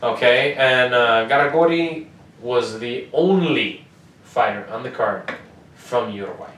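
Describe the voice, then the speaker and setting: A man's voice: medium pitch, lively intonation, unhurried, fairly bright tone. Brief: young American man, casual podcast co-host, swears freely, distant mic in a roomy space. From United States. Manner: loose, profane, joking. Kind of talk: casual sports podcast chat.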